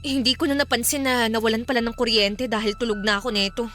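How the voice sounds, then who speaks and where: A woman's voice: on the high side, steady tone, quick pace, a bit bright, soft background music, natural voice. An actress playing an anxious young woman being stalked, in an emotional Filipino radio drama style. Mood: tense.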